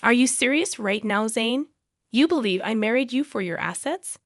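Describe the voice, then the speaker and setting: A woman's voice: on the high side, animated, normal speed, no echo, clean studio sound. A woman voicing a wronged, defiant young wife in a narrated story, challenging with questions. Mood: indignant.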